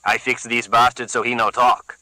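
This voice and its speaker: A man doing an eager, high-pitched thug Carlos. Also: little pitch movement, moderate pace, bright, forceful tone, no echo, low-quality recording. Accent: Puerto Rican Spanish. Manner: eager, pushy, broken English. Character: eager, dim Puerto Rican young thug.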